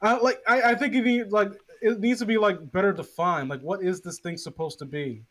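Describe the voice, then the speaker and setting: A man's voice: sits high, fairly even intonation, fairly quick, fairly bright tone. A man chatting casually with friends as a podcast co-host, rambling, sarcastic and swearing freely.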